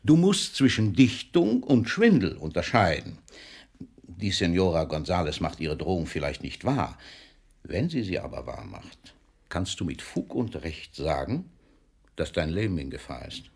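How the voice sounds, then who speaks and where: Theatrical old poet, low voice, husky, mocking, declamatory radio play delivery. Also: male voice, sing-song, unhurried, a little mellow, exaggerated voice. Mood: teasing, dramatic warning. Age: older. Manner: declamatory, swings between booming outbursts and whispers. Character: older famous poet, theatrical, mocking, fatherly toward a young postman. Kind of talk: German radio drama acting.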